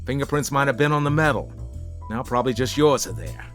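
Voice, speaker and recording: An actor playing a brisk, no-nonsense policeman in a satirical 1940s audio play, authoritative and clipped. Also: medium pitch, a bit bright, soft background music, lightly acted. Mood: commanding.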